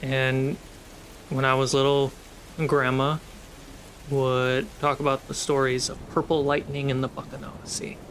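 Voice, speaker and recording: A man working as game master, narrating a tabletop fantasy game with deliberate, dramatic storytelling pauses. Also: medium pitch, completely flat delivery, a bit bright, a little hiss or hum. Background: storm and ship sound effects.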